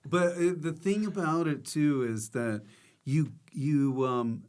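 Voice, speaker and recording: A man's voice: a little high, takes it slowly, a little mellow, clean tone. An American man hosting a comedy podcast, talking in a casual, joking, informal interview style.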